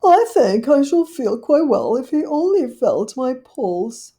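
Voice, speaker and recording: A woman's voice: sits high, very animated, slow, dark tone, voice pushed up, exaggerated voice. A woman voicing a worried, well-mannered female dog in a storybook, talking anxiously to herself. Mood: polite. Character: fretful proper female dog, anxious and polite.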